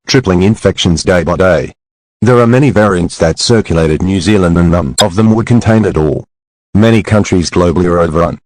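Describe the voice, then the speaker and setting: A man plays a solemn government emergency announcer in a doomsday radio drama. The voice is low and very dry, with no room around it. The delivery is formal, measured and grave. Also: a little mellow, no echo, poor audio. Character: grave male emergency broadcast announcer delivering final warning. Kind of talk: scripted emergency alert narration.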